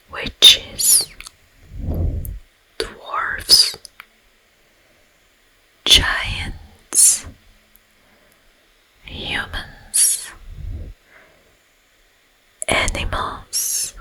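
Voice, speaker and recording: A woman voicing an eerie sleep paralysis demon who was once a tormented monk, telling a story in a breathy ASMR whisper, in short hushed phrases. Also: full character voice, low-quality recording. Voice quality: breathy, mostly unvoiced whisper. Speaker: ASMR performer.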